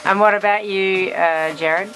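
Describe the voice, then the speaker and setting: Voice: steady tone, unhurried, fairly bright tone. A person recording a casual, informal video diary and putting questions to crewmates inside a small boat. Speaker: crew member filming and interviewing crewmates. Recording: noisy boat cabin with engine rumble.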